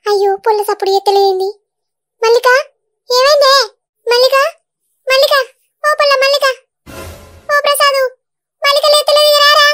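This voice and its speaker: A woman voicing a fussy village mother-in-law in a cartoon, with a Telangana Telugu accent and loud, quick, fussing talk. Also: very high-pitched, steady tone, faint music behind. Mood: alarmed. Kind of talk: cartoon voice acting.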